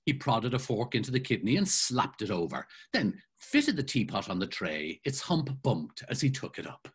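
Older man narrating with expressive lilt. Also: medium pitch, moderate pace, breathy edge. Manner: savoring, expressive storytelling. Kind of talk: dramatic literary reading. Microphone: video call webcam.

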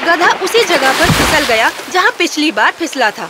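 A woman's voice: sits high, animated, heavy background noise. A woman reading a scripted children's story as the narrator.

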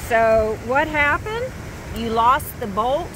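A woman's voice: a little high, expressive, slow, background noise. A woman in her fifties chatting on a casual travel vlog, teasing and talkative, recorded on an action camera. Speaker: travel vlogger, co-host. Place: outdoors and inside a motorhome.

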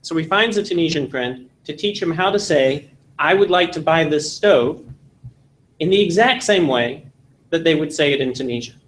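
A man from Texas in his forties, giving an animated classroom lecture and telling jokes in a seminary meeting room. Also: fairly high pitch, moderate pace. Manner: animated storytelling with jokes, gesturing. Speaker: seminar instructor.

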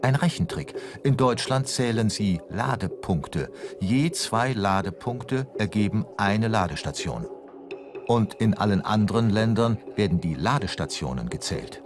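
A man's voice: on the low side, animated, a little slow. A man with a standard German accent reads scripted television documentary narration. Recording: music behind the voice. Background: background music.

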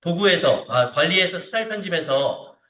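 A man teaching software step by step in an informal way, often checking in with short tag questions. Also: fairly high pitch, lively intonation, moderate pace. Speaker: CAD instructor. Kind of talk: hands-on software tutorial to students. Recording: roomy echo, distant mic.

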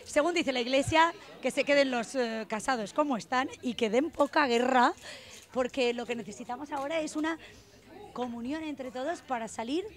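High-voiced, jokey woman reporter. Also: moderate pace. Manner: chatty, jokey, theatrical. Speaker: TV street reporter and interviewer. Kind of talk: playful vox-pop interviewing. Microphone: handheld reporter microphone.

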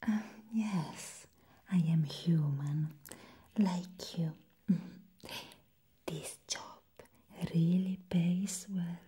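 A young woman playing a bored, condescending clinic secretary in a whispered ASMR roleplay, close to the microphone, offering slow, deliberate reassurance. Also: on the low side, warm tone, a little hiss or hum. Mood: hushed, dismissive. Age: young adult.

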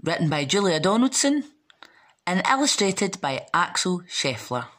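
A woman reading a children's picture book aloud as a storyteller, dramatic and deliberate. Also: lowish voice, big pitch swings, a bit bright, slight background noise.

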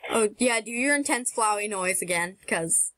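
A woman's voice: mid-range voice, bright, forceful tone, dry recording. A woman chatting casually and playfully between dubbing takes, poking fun at herself.

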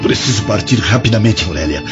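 Man playing an animated, agitated Roman husband. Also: lowish voice, natural voice. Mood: uneasy, hurried. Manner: hurried, agitated, indignant. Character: irritable, guilty adulterous Roman husband. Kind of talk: radio drama acting. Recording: dull sound with music behind.

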